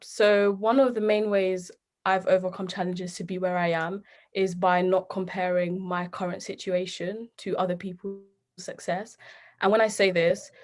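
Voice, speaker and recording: Young british woman on a panel, pretty flat lowish voice, earnest advice. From United Kingdom. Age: young adult. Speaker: youth panelist.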